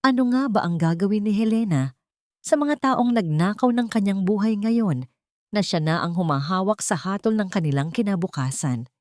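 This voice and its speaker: A woman narrating a scripted drama story in a measured, emphatic, theatrical way. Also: mid-range voice, lively intonation, darkish tone, dry recording, studio quality.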